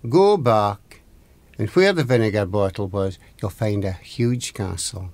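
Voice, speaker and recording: A man's voice: fairly low pitch, lively intonation, a little slow, a little mellow, dry recording. Man playing an emphatic old Scottish sea spirit. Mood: commanding. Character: old man of the sea, magical wish-granting fish. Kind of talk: oral folktale storytelling.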